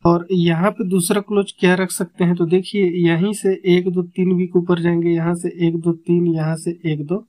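Man, high voice, monotone delivery, talks viewers through number sums step by step, counting aloud and repeating himself, recorded close at a desk. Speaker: number-game tipster. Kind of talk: explaining number chart calculations.

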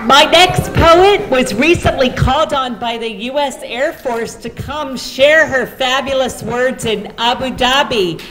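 A woman's voice: sits high, unhurried, few pauses, over music, poor audio. A woman hosting a live event, introducing performers to a seated audience. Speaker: event host introducing poets. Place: large meeting room before a seated audience.

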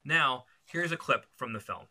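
A man's voice: medium pitch, animated, normal speed, sharp tone, slight background noise. A man in his thirties giving a scripted presentation to camera and announcing award nominees. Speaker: video production company owner, award presenter. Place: small indoor editing room.

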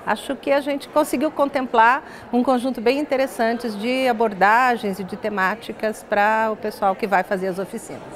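Older Brazilian woman, slightly high voice. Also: fairly even intonation, normal speed, few pauses, a little hiss or hum. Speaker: interviewed university professor. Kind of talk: studio interview, explanatory talk. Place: glass-walled indoor lounge set. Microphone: lapel.

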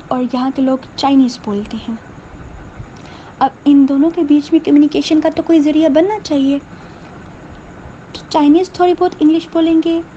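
Female teacher, high and soft-toned, slide lecture voice-over; faint hiss behind. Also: lo-fi sound. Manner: explains in Hindustani, reads English definitions slowly and clearly. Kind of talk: slide lecture explaining terms, reading English lines slowly.

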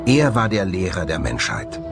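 A man presenting scripted documentary narration in an expressive, dramatic manner. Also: sits low, moderate pace. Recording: music under the voice. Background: music.

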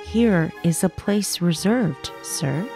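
A woman's voice: fairly low pitch, lively intonation, slow, dark tone, soft background music. A woman playing a courteous, concerned noble at court in a Shakespearean radio drama. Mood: polite, concerned.

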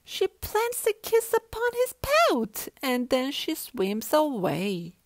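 A woman reads a children's rhyming story aloud. Her voice is fairly high and moves expressively up and down, at a slow, rhythmic pace. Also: huge pitch swings, no echo. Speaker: storybook reader.

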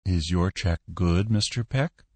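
A man voicing a cold, smooth businessman who answers calmly and without help. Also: deep voice, no room sound. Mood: cool. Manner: cool, purring, unhurried.

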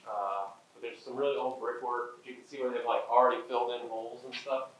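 A man's voice: mid-range voice, fairly even intonation, fast talker, roomy sound, rough recording. A man casually talking through an old brick room in an empty mill, rambling with fillers and restarts. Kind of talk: casual walkthrough commentary.

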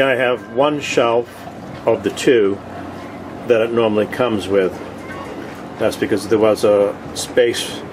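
A man giving a casual video tour in short, halting, plainspoken phrases, recorded outdoors on a boat deck with a handheld camera. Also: mid-range voice. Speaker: host of a cooking vlog. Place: open deck of a boat on the water. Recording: outdoor ambient noise.

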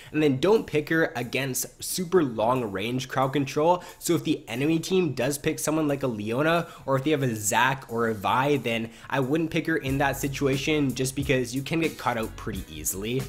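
A man with a stuffy nose from a cold, hosting a casual game strategy video and explaining his picks in a relaxed way. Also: mid-range voice. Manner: relaxed, explanatory, repeats phrases. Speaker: gaming video host.

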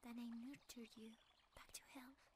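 A woman voicing a gentle, loving, timeless deer-centaur forest creature in a romantic ASMR roleplay, with a soft, breathy, often whispering voice and a calm, tender manner. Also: on the high side, background noise, natural voice. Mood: tender, nostalgic. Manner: calm, delicate, tender, unhurried.

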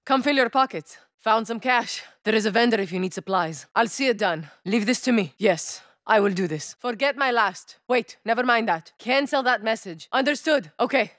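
A woman's voice: on the high side, animated, moderate pace, hardly any pauses, fairly bright tone, no echo, studio quality. A woman voicing a tough young female soldier in a shooter game, giving clipped callouts in with a tinge. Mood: calm. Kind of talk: game voice lines.

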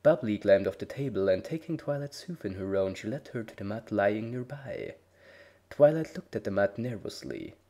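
A man narrating a dramatic reading of fan fiction, measured, voicing each character's dialogue. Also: fairly low pitch, animated, warm tone. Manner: measured storytelling with voiced dialogue.

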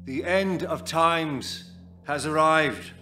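An actor playing a grave, oratorical doomsday cult leader in an audio drama monologue. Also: male voice, fairly high pitch, takes it slowly, pushed and bright, soft background music. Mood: prophetic, urging. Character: solemn doomsday cult leader preaching end times.

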